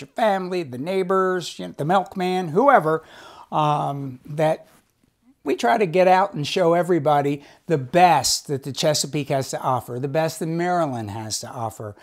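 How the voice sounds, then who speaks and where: An enthusiastic cooking show host talks a viewer through a recipe in a home kitchen. The delivery is chatty and casual, full of folksy asides. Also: medium pitch.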